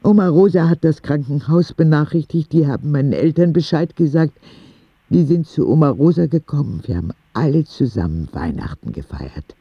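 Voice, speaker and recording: A man's voice: on the high side, expressive, moderate pace, slightly rough, no room sound, dull audio. A man playing a frank young boy in a radio drama, narrating his letters in an earnest, matter-of-fact way. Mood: frank, content. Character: frank, childlike ten-year-old boy writing letters to God.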